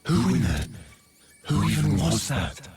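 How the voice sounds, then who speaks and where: A man's voice: on the low side, sing-song, measured pace. An actor playing an earnest, bewildered young male hero in a video game. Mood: stunned. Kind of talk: video game cutscene acting. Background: loud background music.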